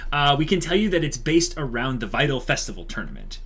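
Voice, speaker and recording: A man's voice: medium pitch, sing-song, fast talker, a bit bright, slight background noise. A young man co-hosting a channel announcement, speaking casually and conversationally with plenty of likes and you-knows. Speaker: channel co-host.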